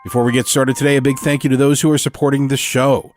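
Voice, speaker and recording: A man's voice: medium pitch, level tone, fast talker, darkish tone. Guy hosting a story podcast, mid voice, scripted intro, faint music under it. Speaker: host.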